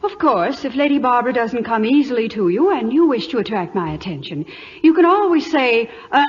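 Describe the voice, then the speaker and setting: A woman's voice: high voice, expressive, moderate pace. A woman playing a gracious, candid, romantic English noblewoman with a refined manner in an old-time radio drama. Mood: gracious, teasing. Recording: muffled old radio broadcast with music.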